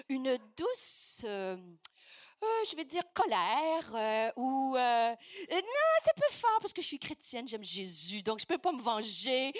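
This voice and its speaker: A woman's voice: sits high, no echo, studio quality. A woman with a Québécois French accent preaching a sermon in an emphatic, declaratory way, with some hesitant fillers.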